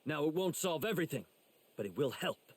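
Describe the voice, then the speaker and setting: A confident young warrior mentor delivers commanding encouragement in anime game style. His slightly high, husky voice moves boldly up and down. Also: big pitch swings, brisk. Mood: encouraging.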